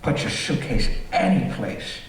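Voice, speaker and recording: An actor playing a fussy, eager homeowner who is secretly a murderous villain, repeating himself in an old-time radio thriller on stage. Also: male voice, mid-range voice, lively intonation, measured pace, lightly acted. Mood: fussy, welcoming. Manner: repetitive, fussy, chuckling, sinister. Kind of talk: stage performance of golden-age radio thriller. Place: theater stage, live performance. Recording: roomy theater, far from mic, faint noise.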